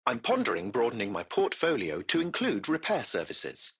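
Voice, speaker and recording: Courteous, crisp-worded AI concierge, swooping intonation. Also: male voice, mid-range voice, wildly swooping pitch, normal speed, fairly bright tone, full character voice. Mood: polite, concerned. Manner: formal, polite, precise butler-like phrasing. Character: courteous, composed male artificial intelligence running a taxi fleet. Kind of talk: scripted video game voice acting.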